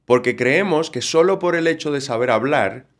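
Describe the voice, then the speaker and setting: A Dominican man with a Galician-tinged Spanish accent, explaining things in a lively way as a guest on an interview podcast. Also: medium pitch, moderate pace. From Dominican Republic. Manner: animated, explanatory, acts out quotes. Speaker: communication trainer, guest.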